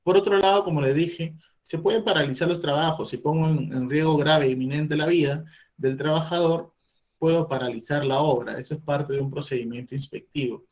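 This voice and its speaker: A man's voice: a little high, steady tone, fairly quick, darkish tone. A middle-aged man giving a training talk on labor inspections, explaining step by step and voicing the questions an employer might ask. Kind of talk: online training presentation.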